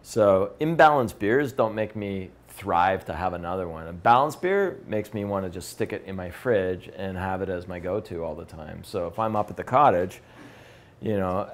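A man in his fifties, enthusiastically leading a beer tasting seminar in a brewery room, picked up by a camera from across the room. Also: low voice. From Canada. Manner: conversational, enthusiastic, gestures while talking. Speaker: beer educator.

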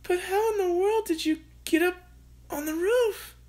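A bewildered mother who stammers and fumbles her words in a thin, very high voice. She sounds slightly distant at times. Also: a little slow, darkish tone. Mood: flustered.

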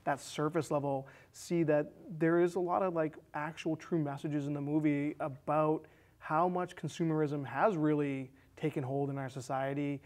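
A man in his thirties with a slightly high voice chats about film as a panelist. His delivery is conversational, picked up by a lapel mic on a small studio set. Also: little pitch movement, normal speed, darkish tone. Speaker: discussion panelist. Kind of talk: casual film discussion.

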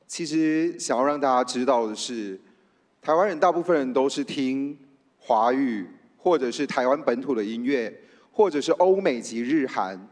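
A man with a Taiwanese accent giving an award acceptance speech on stage. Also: medium pitch. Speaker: radio show host accepting an award. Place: on stage in a large theatre. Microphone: microphone on a stand, held in hand. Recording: echoey large auditorium with long reverb.

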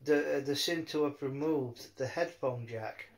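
A man chatting casually in a rambling, halting way, often repeating his words. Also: mid-range voice, a little hiss or hum. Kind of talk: rambling casual phone chat.

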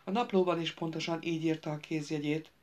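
A small, gentle young nobleman, as a woman narrator reads him. He answers calmly and without heat. It is told in a fantasy audiobook. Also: deep voice, lightly acted. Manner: calm and gentle.

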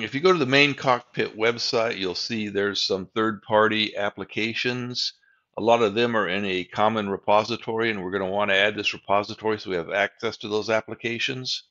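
An older man with a low voice narrates a software tutorial over his screen. He explains each step plainly and methodically. The recording sounds a bit dull and lo-fi. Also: fairly even intonation, moderate pace. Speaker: tech tutorial host.

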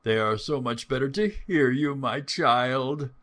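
A man voicing a cunning hungry wolf in a storybook, coaxing and falsely gentle. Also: mid-range voice, measured pace, pitched above the performer's own voice, close to an everyday voice. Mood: coaxing, sinister. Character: cunning hungry male wolf, feigning a kindly feeble granny.

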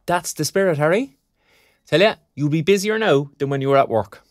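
A man's voice: on the high side, brisk, dry recording, studio quality, close to an everyday voice. A man with an Irish accent playing a young graduate in an audio drama, teasing and encouraging in colloquial talk. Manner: colloquial, bantering. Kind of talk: naturalistic audio drama dialogue.

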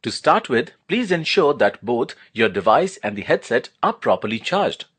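Husky male product demonstrator, lively intonation. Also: medium pitch. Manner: instructional, step-by-step explaining. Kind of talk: scripted product demonstration narration.

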